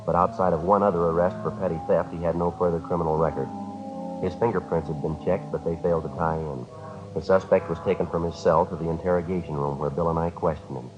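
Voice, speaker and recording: A low-pitched American male detective, played by a radio actor, talking briskly in clipped, deadpan phrases. He is terse and relentless, in a 1950s police drama with the dull sound of old radio. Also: lightly acted. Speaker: actor narrating and playing a detective. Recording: dull vintage radio broadcast with music.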